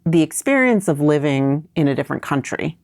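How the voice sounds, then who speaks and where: Low gravelly woman, thoughtful storyteller. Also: big pitch swings, brisk, a little mellow, dry recording, clean studio sound. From raised in New York. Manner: thoughtful, winding storytelling with frequent restarts. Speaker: podcast guest. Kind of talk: conversational interview answers.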